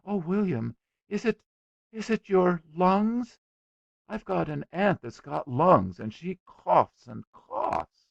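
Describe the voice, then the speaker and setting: A man's voice: high-pitched, expressive, slow pace, vocal fry, dry recording, lo-fi sound, pitched above the performer's own voice, full character voice. A man voicing a tender, naive little girl in a story, asking childlike, earnest questions. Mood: anxious, sympathetic.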